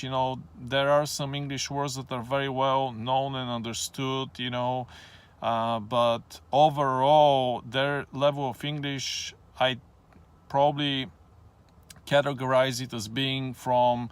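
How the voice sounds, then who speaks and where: A man, from Bulgaria, hosting a casual explanatory vlog in a hesitant, matter-of-fact way with many pauses and ums. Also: mid-range voice, fairly bright tone, smooth. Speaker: channel host.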